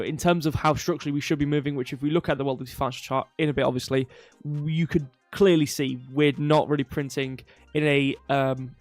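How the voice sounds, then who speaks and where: A man's voice: on the high side, a little mellow, no echo. Nasal man rambling on a livestream. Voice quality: slightly nasal, stuffy nose. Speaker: crypto livestream host. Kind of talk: casual rambling livestream market commentary. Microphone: close microphone.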